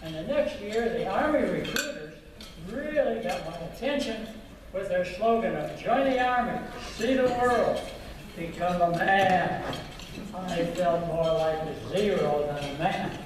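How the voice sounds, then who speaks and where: An older man earnestly recounting his life story in a slow, reflective manner, recorded from a distance. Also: high voice, slight background noise. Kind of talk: personal storytelling talk. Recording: echoey and distant with loud background clatter.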